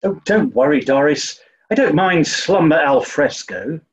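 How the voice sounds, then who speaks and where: An actor playing a cheerful, oblivious upper-class British toff in a 1940s-style radio comedy. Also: male voice, fairly high pitch, expressive, a little slow. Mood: genial, reassuring. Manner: jaunty, genial, oblivious. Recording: dull, muffled recording.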